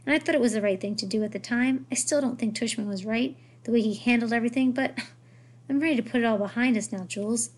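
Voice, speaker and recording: Woman acting a quiet, conciliatory mother, slightly husky. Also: a little high, fast talker. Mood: weary, conciliatory. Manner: quiet, gentle. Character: conciliatory, tearful mother, ready to back down.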